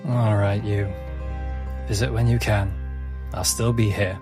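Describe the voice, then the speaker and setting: A man's voice: lowish voice, steady tone, measured pace, music in the background, close to an everyday voice. A man playing a small, friendly male cafe keeper in a tabletop game, speaking in a fond, affectionate manner.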